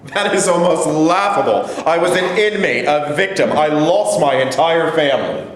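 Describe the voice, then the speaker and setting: A man's voice: fairly high pitch, expressive, moderate pace, lightly acted. A man acting the part of a defensive, evasive elderly Holocaust survivor accused of collaborating, protesting in a staged play reading. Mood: indignant, defensive. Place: indoor room at a staged reading. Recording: echoey room, light noise, distant pickup.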